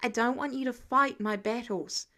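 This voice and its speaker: A woman's voice: fairly high pitch, moderate pace, sharp tone. A woman voicing an earnest, slightly awkward teenage boy with an understated manner in a story reading.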